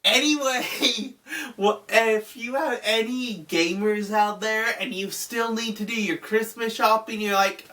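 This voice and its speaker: A woman's voice: on the high side, slow, sharp tone, far from the mic. A young woman from Utah, congested from a cold, chatting casually about video games she recommends, rambling with lots of fillers. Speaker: gaming channel host.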